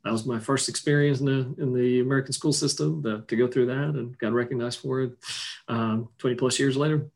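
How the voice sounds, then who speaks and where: Rambling man from Iceland, soft-spoken. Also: medium pitch, steady tone, brisk, vocal fry. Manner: loose and rambling, many restarts and fillers. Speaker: guest, business owner. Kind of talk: casual interview chat.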